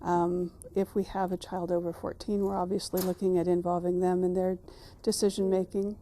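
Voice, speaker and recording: A quiet, soft-spoken woman co-presenting a court training, partly reading from her notes. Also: fairly low pitch, flat delivery, normal speed, a little hiss or hum.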